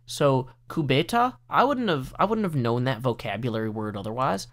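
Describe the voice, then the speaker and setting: A man's voice: medium pitch, moderate pace. Earnest, rambling American gamer man. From United States. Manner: earnest, rambling with many fillers and self-corrections. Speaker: let's play host. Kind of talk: casual gameplay commentary.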